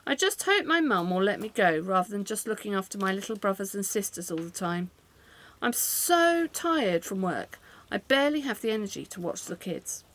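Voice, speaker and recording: A woman's voice: medium pitch, big pitch swings, moderate pace, a bit bright, low-quality recording. A woman playing a tired, overburdened young working girl in a wartime radio play. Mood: weary, hopeful. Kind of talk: diary monologue in a radio play.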